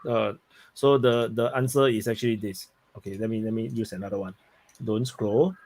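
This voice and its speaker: A man's voice: fairly low pitch, fairly even intonation, moderate pace, darkish tone, no echo. A man hosting a casual online talk show, friendly and often repeating himself. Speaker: host. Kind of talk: casual chat.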